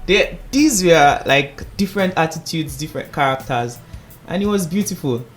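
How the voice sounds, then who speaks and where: A man, a singing contest finalist, telling stories in a playful, animated way on the radio, laughing often. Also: on the high side, moderate pace, faint music behind. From Nigeria. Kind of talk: casual radio interview.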